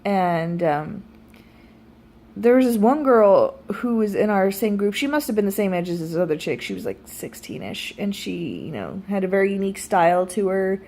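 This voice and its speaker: A woman's voice: mid-range voice, moderate pace. Thirty-something woman chatting candidly in vlog style. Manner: animated, wry, self-deprecating, swears freely. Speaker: vlogger. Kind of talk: casual storytelling chat to viewers.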